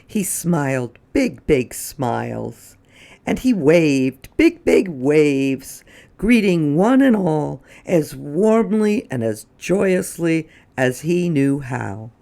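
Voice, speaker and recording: Picture-book narrator, mid-pitched with gravelly fry, expressive and deliberate read-aloud delivery. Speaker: storyteller.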